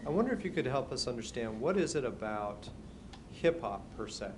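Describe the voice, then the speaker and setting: A man's voice: medium pitch, big pitch swings, a little fast. A man moderating a panel, asking long questions with many hesitant fillers. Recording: noisy room recording.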